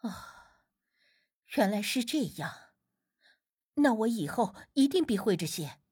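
A woman's voice: on the high side, very animated, slow pace, a little mellow, husky, no echo, clean studio sound, exaggerated voice. A woman voicing a doting, easily flustered grandmother in an audiobook, with gushing, exclamatory delivery. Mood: dawning understanding.

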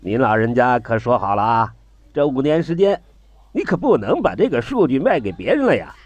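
A man playing a chatty, courteous trader in an audio drama, wheedling in colloquial, drawn-out sales patter with a slightly husky voice. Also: mid-range voice, very animated, no echo, lo-fi sound. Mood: courteous persuasion. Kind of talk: Chinese audio drama dialogue.

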